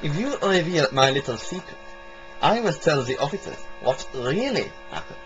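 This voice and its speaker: A man's voice: a little high, very animated, normal speed, over music. A man playing a stern, menacing army sergeant with a pointed delivery in an amateur audio drama. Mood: coldly threatening. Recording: dull lo-fi homemade sound.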